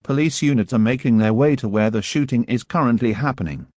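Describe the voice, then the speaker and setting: Male announcer, very dry close sound, formal and measured, delivering scripted emergency bulletins. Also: mid-range voice, darkish tone, no echo, lo-fi sound.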